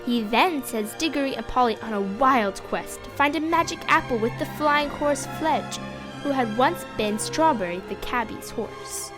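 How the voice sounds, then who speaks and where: A young female narrator reading a scripted fantasy story aloud with expressive storybook delivery. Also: high voice, normal speed, music in the background.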